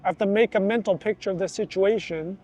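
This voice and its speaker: A middle-aged man talking casually to the camera in a vlog, chatty and animated, recorded outdoors near a festival. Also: sits high, fast talker. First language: English. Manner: chatty, animated, acts out quoted voices. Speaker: vlogger, student of Thai.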